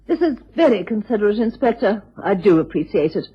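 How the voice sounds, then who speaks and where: An actress playing a gracious, anxious aristocratic widow in a radio drama, with a British accent. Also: female voice, medium pitch, very animated, fairly quick, breathy edge. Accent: British English. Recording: muffled, hazy old radio drama recording.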